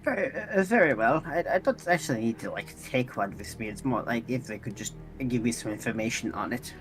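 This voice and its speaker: Man playing a polite, halting robot adventurer. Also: mid-range voice, expressive, fairly bright tone, faint music behind, natural voice. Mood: polite, hesitant. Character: polite, earnest amnesiac robot adventurer seeking answers.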